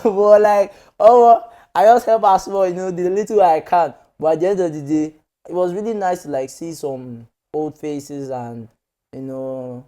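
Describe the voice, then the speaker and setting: A man's voice: medium pitch, mellow. Chatty young Nigerian man. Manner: chatty, many "you know" fillers, laughs often. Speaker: guest. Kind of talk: casual storytelling interview.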